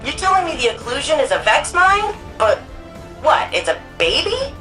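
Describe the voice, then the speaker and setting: Video game role: nerdy librarian woman, wry, chatty, animated delivery, faint music behind. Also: sits high, moderate pace, full character voice. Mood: puzzled. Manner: chatty, informal, wry, geeky. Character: quirky, eager, nerdy young woman archivist and librarian. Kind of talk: video game character dialogue.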